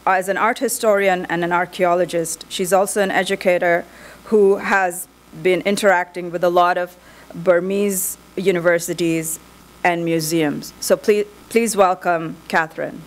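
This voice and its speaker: A woman giving opening remarks and introducing speakers as moderator, measured with some restarts. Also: fairly low pitch, steady tone. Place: conference hall.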